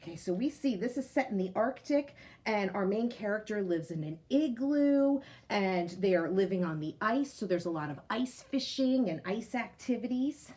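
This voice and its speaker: A woman's voice: medium pitch, animated, moderate pace, few pauses, darkish tone. A woman reading a picture book aloud to children, as a teacher. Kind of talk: storybook read-aloud.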